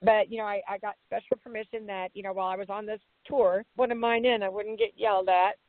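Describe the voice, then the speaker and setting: A woman's voice: medium pitch, fairly even intonation, a little fast, dry recording. Chatty woman in her fifties on a phone line. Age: 50s. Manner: chatty, folksy, laughs often. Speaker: pageant titleholder guest. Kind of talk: casual interview chat. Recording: tinny phone line, dry.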